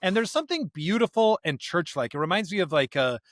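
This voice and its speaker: A man's voice: high-pitched, animated, brisk, no room sound, clean studio sound. A man co-hosting a religious podcast in an earnest, emphatic conversational way, often checking for agreement. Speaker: podcast co-host.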